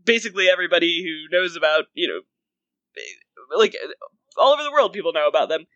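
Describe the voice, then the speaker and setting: A woman in her thirties talks as a podcast co-host in a bright, forward voice. Her delivery is casual and rambling, full of loose asides. The sound is dry and close. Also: lowish voice, quick pace, no room sound, dull audio. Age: 30s. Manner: rambling, animated asides with frequent "like". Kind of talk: casual film and music chat.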